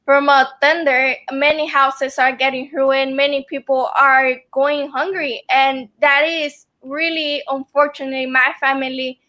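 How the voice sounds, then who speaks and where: A woman's voice: high-pitched, level tone, measured pace, hardly any pauses, pushed and bright. A young woman whose first language is Spanish, a student guest on a video call, speaking earnestly with many ums. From family from El Salvador. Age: young adult. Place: room at home.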